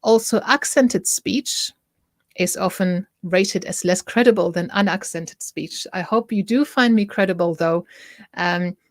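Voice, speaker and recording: A middle-aged woman speaks with a German accent in a lowish, even voice, recorded close and dry. She hosts a casual talk to camera, chatty and prone to stopping mid-thought to correct herself. She keeps turning to viewers to ask what they think. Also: measured pace, no room sound. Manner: chatty, self-interrupting, asks viewers for opinions. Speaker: host.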